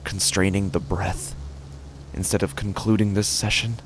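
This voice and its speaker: A man performing a horror podcast monologue as an obsessive, unravelling office worker, speaking with deliberate, intense pauses. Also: fairly low pitch, flat delivery, a little hiss or hum, lightly acted. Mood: agitated, disbelieving. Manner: deliberate, intense, pausing and repeating words. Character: obsessive, increasingly unravelled male office worker narrating his dread. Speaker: horror fiction narrator. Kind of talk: dramatic first-person horror monologue.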